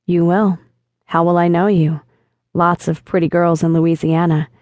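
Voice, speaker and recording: A woman narrator voicing a flirtatious, self-assured man in an audiobook, with smooth, teasing delivery. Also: on the low side, level tone, a little slow, a little mellow, no echo, dull audio, natural voice. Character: flirtatious confident man chatting online. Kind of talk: audiobook dialogue reading.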